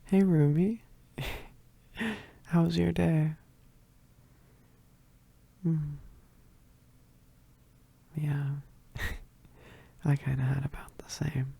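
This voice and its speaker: Needy, bashful male werewolf roommate for ASMR, acted by a man: dark soft tone, creaky, halting. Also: a little high, pitched above the performer's own voice, heavily acted. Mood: shy, friendly. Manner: hesitant, stammering, bashful with soft chuckles. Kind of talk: intimate ASMR roleplay.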